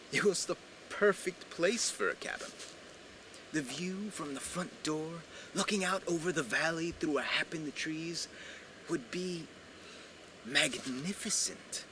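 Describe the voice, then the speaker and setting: A man telling a folk tale in a theatrical, deliberate way with emphatic pauses, recorded at a live storytelling event. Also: fairly high pitch, background noise. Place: live evening storytelling program, filmed.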